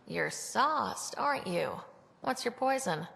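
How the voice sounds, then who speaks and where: A woman playing a calm, decisive young spaceship commander in a video game, speaking in a composed, direct way. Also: on the high side, takes it slowly, gravelly, husky, long reverb tail, full character voice. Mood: amused, reassuring. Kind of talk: video game cutscene acting.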